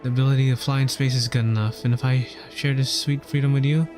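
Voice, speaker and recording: Casting: male streamer, mid-range, halting casual commentary and read-aloud over game music. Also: medium pitch, steady tone. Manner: hesitant, stumbling. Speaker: livestreamer. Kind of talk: casual game commentary and live reading of story text.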